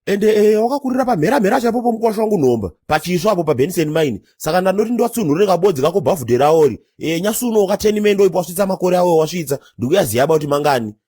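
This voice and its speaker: A man's voice: high-pitched, lively intonation, racing, few pauses, mellow, husky, no room sound. A man voicing a bossy, grumbling uncle on gate duty in a comedy cartoon, argumentative and exclaiming. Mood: speechifying.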